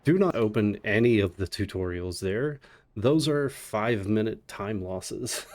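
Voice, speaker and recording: A man's voice: lowish voice, lively intonation, a little slow, darkish tone. A man commentating a live speedrun in a casual, playfully sarcastic way. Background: game music and dialogue.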